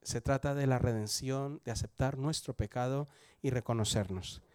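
A Colombian man in his forties shares his testimony at church in a chatty, conversational way. He often turns to his listeners with questions and swings his loudness for emphasis. His voice sits at an ordinary male pitch, recorded dry with no room around it. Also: fairly even intonation, moderate pace, dry recording. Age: 40s. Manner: conversational, asks the audience questions. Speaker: church member giving testimony.

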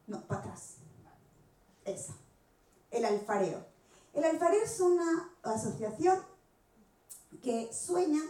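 A woman in her forties from Spain, with an accent from Spain, giving an animated personal testimony as a missionary speaking to a church gathering. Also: high-pitched. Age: 40s. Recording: echoey room, distant microphone.

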